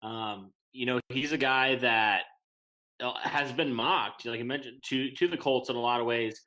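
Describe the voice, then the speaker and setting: A man's voice: mid-range voice, normal speed, pushed and bright, low-quality recording. A man co-hosting a casual sports podcast, breaking down players in an earnest, hesitant way with many ums and restarts. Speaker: podcast co-host.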